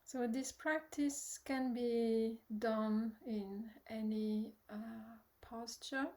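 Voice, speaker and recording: Slow, even-toned woman guiding meditation. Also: fairly high pitch. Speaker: meditation teacher. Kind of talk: guided meditation instruction.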